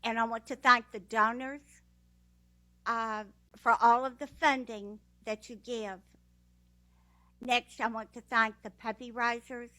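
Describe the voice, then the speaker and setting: A woman with a Texas accent giving a slow, heartfelt graduation speech with fillers. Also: a little high, steady tone, sharp tone, poor audio. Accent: Texan. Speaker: guide dog graduate. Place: ceremony room.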